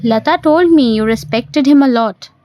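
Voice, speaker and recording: A woman's voice: high voice, normal speed, warm tone, dry recording, lightly acted. A woman voicing a polite, curious young man, a prospective son-in-law, in an audio play. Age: young adult. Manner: earnest, deferential, questioning.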